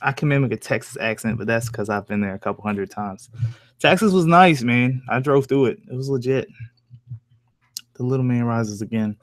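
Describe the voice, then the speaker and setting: A young man with a soft voice hosting a livestream, chatting casually in rambling, slangy, profane talk. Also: medium pitch, moderate pace, no room sound. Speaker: livestream host, horror story narrator. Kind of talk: casual livestream chat with viewers.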